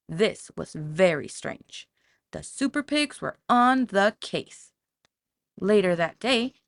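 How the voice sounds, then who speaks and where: Low-pitched, creaky woman storyteller. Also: no room sound, clean studio sound. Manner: dramatic, expressive storytelling. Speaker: audiobook narrator. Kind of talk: scripted children's story narration.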